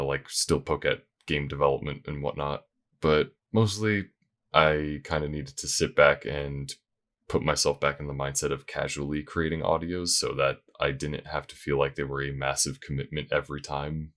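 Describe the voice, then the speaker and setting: Man, extremely deep voice, casual creator update, informal, rambling, self-effacing. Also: a bit bright. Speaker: voice actor and audio creator.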